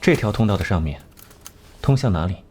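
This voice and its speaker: An actor playing a cool, shrewd detective in an animated crime drama, questioning in a terse, dryly sardonic way. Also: male voice, sits low, brisk, a little hiss or hum. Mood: thoughtful. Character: cool, shrewd, acerbic male detective, unflappable interrogator.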